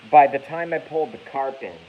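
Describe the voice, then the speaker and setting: A man's voice: medium pitch, fairly quick. Rambling, cursing man in his thirties. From Massachusetts. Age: 30s. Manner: rambling, profane, self-correcting. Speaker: YouTube streamer. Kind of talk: casual live-stream rant. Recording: dull lo-fi with faint hiss.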